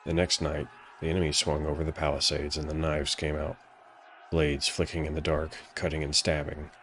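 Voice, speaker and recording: A man narrating a dramatic fantasy story from a script in a solemn, measured way. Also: rumbling low, monotone, fairly bright tone, a little hiss or hum.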